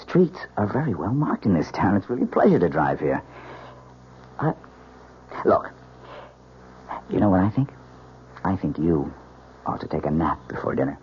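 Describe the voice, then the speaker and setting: An actor playing a breezy, affectionate young newlywed husband in an old-time radio mystery, teasing and casual with a slight stammer. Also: male voice, deep voice, very animated, moderate pace, long pauses. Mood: easygoing. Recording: dull old radio recording, faint hiss.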